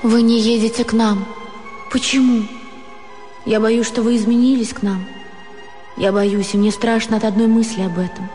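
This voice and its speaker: A woman acting an earnest young romantic in a radio play, gushing and pleading in a husky, emotional voice. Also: on the high side, level tone, normal speed, long pauses, warm tone, soft background music, lightly acted. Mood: pleading, anxious.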